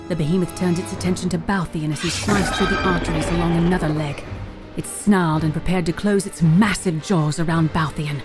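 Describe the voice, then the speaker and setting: A woman's voice: on the low side, fairly even intonation, brisk, natural voice. A woman playing the storyteller of a fantasy audio drama, narrating a heroic tale in a grave, dramatic scripted style. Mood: grave, suspenseful. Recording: music bed under the voice. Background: prominent dramatic music.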